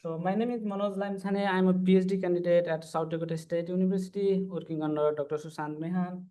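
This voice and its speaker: A man's voice: high-pitched, fairly even intonation, quick pace, mellow. A man, giving an earnest, formal conference panel presentation as a PhD student. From Nepal. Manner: earnest, formal, walks through slides step by step.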